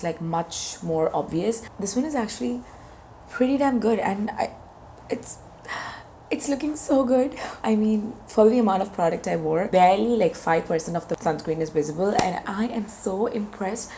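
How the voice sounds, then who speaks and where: A woman in her thirties with a hoarse voice, chatting enthusiastically as she reviews makeup products on her channel. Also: medium pitch, normal speed, warm tone, a little hiss or hum. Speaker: beauty vlogger.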